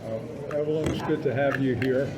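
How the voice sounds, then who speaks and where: An older man co-hosting a casual podcast interview, talking in hesitant, halting phrases. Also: mid-range voice, fairly even intonation, very noisy. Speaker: podcast co-host.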